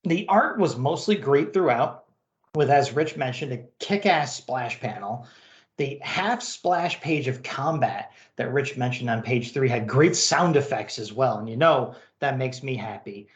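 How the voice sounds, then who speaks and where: A man's voice: medium pitch, animated, measured pace, muffled recording. A man hosting a podcast, giving a wry, sarcastic and emphatic review. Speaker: podcast host. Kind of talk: wry comic book review. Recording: roomy, echoey sound.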